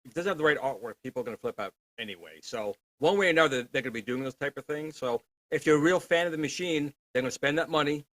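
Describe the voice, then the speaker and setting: A man co-hosting a retro gaming livestream, chatting in an informal, animated way. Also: a little high, fast, hardly any pauses, no echo. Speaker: co-host of a retro gaming show. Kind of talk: casual livestream chat.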